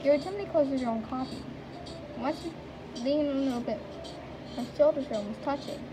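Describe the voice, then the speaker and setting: A woman with a high voice reads game narration aloud, quick and stumbling over words. The home recording carries a clear hiss. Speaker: game player reading aloud. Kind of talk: casual reading of game text.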